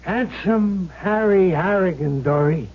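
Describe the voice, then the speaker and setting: An actor playing a jealous, vengeful gangster's ghost with streetwise slangy talk in an old radio drama. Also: male voice, high-pitched, slow. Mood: menacing. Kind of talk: 1970s radio drama acting. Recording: muffled old radio broadcast recording.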